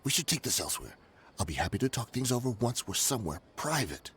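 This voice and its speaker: An actor playing a shifty former desert mercenary who talks in a nervous, wheedling way. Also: male voice, on the low side, sing-song, fast talker, fairly bright tone, a little hiss or hum. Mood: hushed. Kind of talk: game voice acting.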